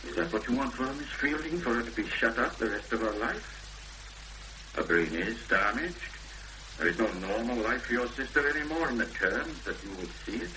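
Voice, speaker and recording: An actor playing a grave, persuasive Welsh chapel minister with solemn, measured speech in a 1970s radio drama. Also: male voice, mid-range voice, expressive, bright, forceful tone. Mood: grave persuasion. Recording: noisy old radio broadcast recording with hum.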